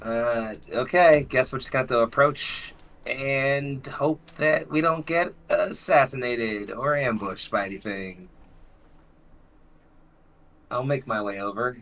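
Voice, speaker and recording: Bright-voiced wry man at the gaming table. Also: mid-range voice, slow pace. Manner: wry, sardonic, profane. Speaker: player. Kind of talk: casual tabletop play.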